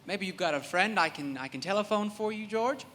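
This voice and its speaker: A timid, high-voiced, placating neighbour. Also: male voice, expressive, a little fast, bright, forceful tone. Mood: nervous, soothing. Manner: hesitant, placating. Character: timid, concerned neighbour soothing a distraught man. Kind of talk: live radio play acting. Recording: reverberant hall.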